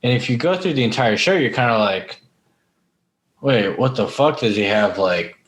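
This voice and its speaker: A man's voice: medium pitch, lively intonation, normal speed. A man chatting casually on a podcast, informal and prone to swearing. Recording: echoey, roomy sound.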